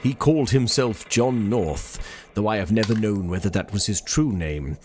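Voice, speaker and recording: A man's voice: on the low side, moderate pace, a little mellow, slight background noise, lightly acted. A man reading as a solemn old sea captain. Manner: solemn, formal letter reading. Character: 18th-century sea captain writing to his daughter.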